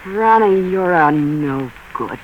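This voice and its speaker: A woman's voice: on the low side, animated, slow pace. A woman playing a scheming femme fatale, betrayed and pleading, in a 1940s radio drama style. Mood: uneasy, betrayed. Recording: muffled, hissy 1940s radio recording.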